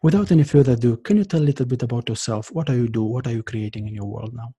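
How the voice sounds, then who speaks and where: Husky-voiced male interview host. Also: medium pitch, very fast, warm tone. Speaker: podcast host. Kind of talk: interview hosting.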